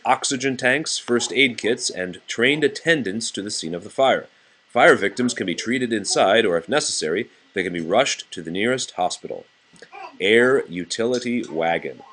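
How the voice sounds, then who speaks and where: A man's voice: fairly low pitch, a bit bright, slight background noise. A man reading a nonfiction children's book aloud to a child in a plain, explanatory way.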